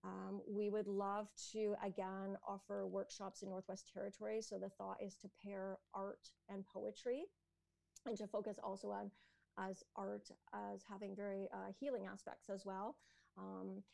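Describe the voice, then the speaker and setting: A woman's voice: medium pitch, vocal fry, dry recording. A Canadian woman, an entrepreneur and educator, talking thoughtfully in an interview with many "um"s and "you know"s. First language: English. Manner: thoughtful, many fillers, soft laughs. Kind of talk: conversational interview answer.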